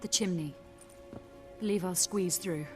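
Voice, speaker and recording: A woman's voice: on the low side, measured pace, close to an everyday voice. A woman playing a cool, self-serving thief in a fantasy video game, speaking in a matter-of-fact manner. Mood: coolly apologetic. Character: cool, self-serving female thief, nimble gang deserter. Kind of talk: cinematic game dialogue. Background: loud music.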